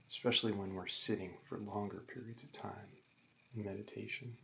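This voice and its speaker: A man leading a guided meditation as a yoga teacher, calm and gentle, giving measured instructions with pauses. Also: on the low side, gravelly. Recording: dull lo-fi recording with faint noise.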